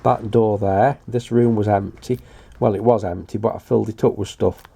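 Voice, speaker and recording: Older british guy, gravelly voice, showing stuff off casually, bit halting and matter of fact. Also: on the low side, steady tone, dark tone. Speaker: hobbyist video presenter. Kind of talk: casual show-and-tell commentary.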